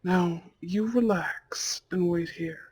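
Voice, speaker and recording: Man playing a husky, slow-spoken devoted mafia husband. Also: high-pitched, darkish tone, full character voice. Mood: tender, loving. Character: devoted, tender mafia heir, newlywed husband and anxious father-to-be. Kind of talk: romantic ASMR audio roleplay.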